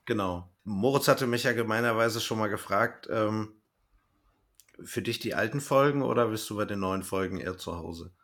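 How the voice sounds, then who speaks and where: A man's voice: lowish voice, moderate pace, fairly bright tone. A man hosting a casual podcast interview and chatting with his guest.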